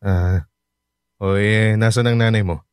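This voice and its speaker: A man playing a hardworking fifty-year-old taxi-driver father in a radio drama, speaking gently and reassuringly. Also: lowish voice, fairly even intonation, a little slow, clear, resonant tone, no echo, studio quality, close to an everyday voice. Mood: caring.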